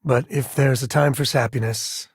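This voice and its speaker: An actor playing a laid-back, sardonic space ranger and devoted father in a video game, with a folksy, wry way of talking and a husky, gravelly voice. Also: mid-range voice, steady tone, normal speed, dry recording, clean studio sound. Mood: tender. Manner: folksy, wry, drawling informal speech. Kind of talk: video game companion dialogue.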